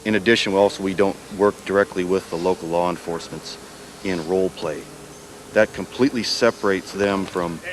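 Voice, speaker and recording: Male military interviewee, low register, explanatory delivery, slight ambient noise. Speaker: military instructor being interviewed.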